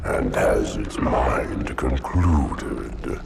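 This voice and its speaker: Vast ancient monster, rumbling very slowly. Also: male voice, lively intonation, vocal fry, far from the mic, full character voice. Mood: solemn menace. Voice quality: guttural, rumbling. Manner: slow, rhyming, grandiose. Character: ancient monstrous hive-mind creature, vast, solemn, sinister. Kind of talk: cinematic game creature acting. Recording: loud music over the voice.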